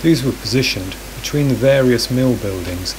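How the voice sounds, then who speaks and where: A man reading a scripted documentary narration in a calm, measured voice-over. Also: mid-range voice, background noise. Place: voice-over, recorded apart from the woodland footage.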